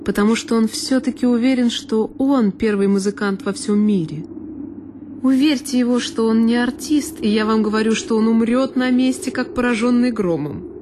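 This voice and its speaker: A woman voicing a measured worldly gentleman. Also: a little high, noisy background, close to an everyday voice. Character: thoughtful worldly man judging a musician. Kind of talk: radio play reading. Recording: long reverb with violin music.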